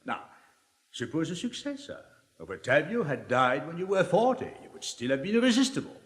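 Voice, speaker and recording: Suave cynical libertine, lively swinging intonation, declamatory 1950s stage drama, long hall reverb. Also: male voice, fairly high pitch. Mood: teasing, argumentative. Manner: rhetorical, deliberate, declamatory. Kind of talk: 1950s theatrical dramatic reading.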